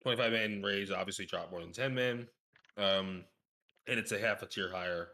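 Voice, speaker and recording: A man with a lowish voice and a bright, forceful edge. He talks as a guest in a relaxed gaming podcast, explaining details informally and knowledgeably. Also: moderate pace, vocal fry. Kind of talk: casual gaming podcast chat.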